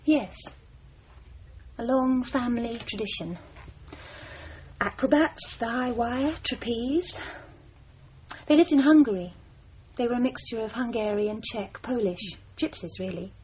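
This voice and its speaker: An actress playing a composed, wry young dancer in a radio drama, with a cool, reserved way of talking. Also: female voice, on the high side, expressive, a little slow, a little mellow. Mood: wry, reminiscing. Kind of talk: naturalistic radio drama acting. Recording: dull, noisy old radio broadcast.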